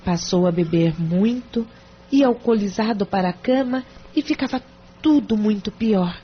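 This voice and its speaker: A woman's voice: mid-range voice, normal speed, lightly acted. An actress with a Brazilian accent playing a reserved, embarrassed married woman confiding in a hesitant way in an audio drama. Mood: confiding, sorrowful. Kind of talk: audio drama acting in Portuguese. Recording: dull lo-fi audio with clear noise.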